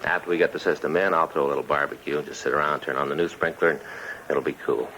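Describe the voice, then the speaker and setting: An American actor playing a chatty, folksy police detective's partner in a 1950s radio drama. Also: male voice, a bass voice, fairly even intonation, fast, sharp tone. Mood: earnest, chatty. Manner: folksy, conversational. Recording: muffled 1950s radio recording, faint hiss.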